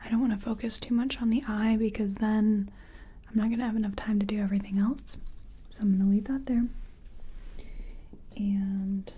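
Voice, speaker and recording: A woman playing a nervous makeup artist in an ASMR roleplay, speaking in a breathy whisper and murmuring hesitantly to herself as she works. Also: mid-range voice, level tone, normal speed, pauses often, dark tone. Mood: focused, unsure. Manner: murmured, hesitant self-commentary while working. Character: nervous female makeup artist competing in a contest. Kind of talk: soft-spoken ASMR personal attention roleplay.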